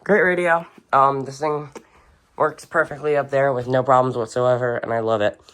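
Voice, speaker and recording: Casual, halting radio collector showing off gear. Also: mid-range voice, steady tone, a bit bright. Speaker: video host and weather radio collector. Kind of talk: casual unscripted show-and-tell narration. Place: indoors, in a room with shelves of radios.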